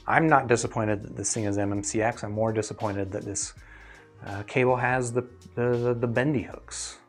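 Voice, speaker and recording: Guy doing a casual review stream, kinda rambly and halting, normal pitched voice, music in the back. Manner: rambling, halting, thinking aloud. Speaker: host, audio gear reviewer. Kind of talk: casual livestream chat with viewers.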